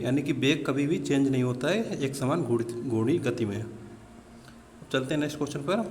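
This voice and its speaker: A man works through practice questions as a coaching teacher. His voice sits a little high, and he explains things to his listeners as friends, dropping in English technical words. The recording is reverberant, with some noise behind him. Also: darkish tone. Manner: mixes English technical terms into speech. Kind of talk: practice question walkthrough lesson. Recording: reverberant room with background noise.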